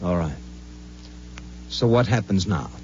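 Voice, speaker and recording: An actor playing a captured private investigator, halting and groaning yet stubborn, in 1950s radio drama style. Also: male voice, sits low, expressive, darkish tone, slight background noise. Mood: wary defiance. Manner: halting, groaning, gasping protests. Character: groggy, defiant captured private investigator, rattled but stubborn. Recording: dull old radio broadcast with music.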